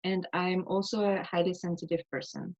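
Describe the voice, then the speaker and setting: A woman from Austria hosting and guiding a tapping session, speaking calmly with frequent fillers. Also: lowish voice. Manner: calm, measured, with many fillers. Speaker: host, EFT practitioner. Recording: slightly dull lo-fi audio.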